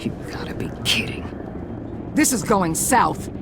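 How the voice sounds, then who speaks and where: An actress voicing a stern, skeptical American agency boss with an authoritative, sardonic manner in a video game. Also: female voice, lowish voice, big pitch swings, measured pace, whispered. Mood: wary, dry. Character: stern, commanding middle-aged female agency boss, cold and skeptical.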